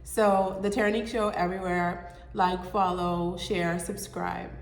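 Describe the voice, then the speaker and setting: A woman's voice: fairly low pitch, flat delivery, measured pace. A young adult woman hosting her own vlog, sounding nasal from a cold, chatting casually as she explains hair care step by step. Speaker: show host. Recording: echoey room with long reverb.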